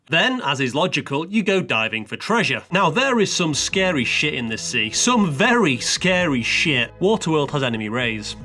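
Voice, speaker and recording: Male countdown reviewer, slightly high and very animated, sarcastic and mock-dramatic scripted narration. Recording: music playing behind the voice. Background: background music.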